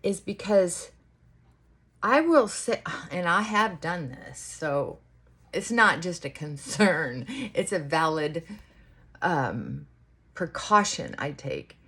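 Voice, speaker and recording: Woman, unhurried slow pace, mid-range pitch, casual vlog chit-chat, reflective, halting delivery. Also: fairly bright tone. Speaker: vlogger.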